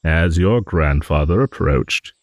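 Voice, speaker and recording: A man's voice: deep voice, lively intonation, takes it slowly, no echo, clean studio sound, exaggerated voice. A man playing a genial, nostalgic old dragon in an improvised fantasy monologue, musing and rambling with hums and hesitant asides. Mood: reminiscing, storytelling.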